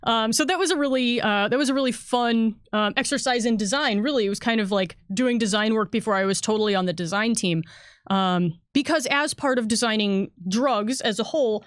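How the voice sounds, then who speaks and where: Higher-voiced woman explaining game design. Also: brisk, clear, resonant tone, dry recording, studio quality. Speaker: game designer and editor, guest. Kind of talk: conversational product walkthrough for a livestream.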